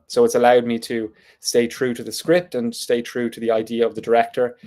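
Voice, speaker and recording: A man's voice: medium pitch, monotone, fairly quick, a little mellow. An Irish man talking through an unscripted, reflective vlog monologue in a thoughtful, meandering way. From Ireland. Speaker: vlogger.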